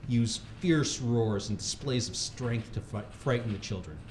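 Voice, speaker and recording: A man reads a comic how-to piece aloud with mock-serious authority. His voice sits at an average male pitch. The room is echoey, with faint noise behind him. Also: a little slow, a little mellow. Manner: mock-serious instructional delivery; often breaking into laughter. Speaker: reader at a charity livestream. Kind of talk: comedic reading of a humor article aloud.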